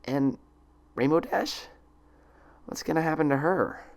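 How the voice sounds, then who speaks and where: A man's voice: a little high, unhurried, creaky, darkish tone, pitched above the performer's own voice. A man voicing a young adult female pony patient in an audiobook story, urgent and questioning in manner. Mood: worried. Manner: urgent, hesitant, insistent.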